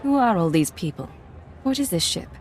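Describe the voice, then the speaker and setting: Cool, low-pitched female secret agent. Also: big pitch swings, normal speed, darkish tone, a little hiss or hum. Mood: guarded, dry. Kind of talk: video game dramatic acting.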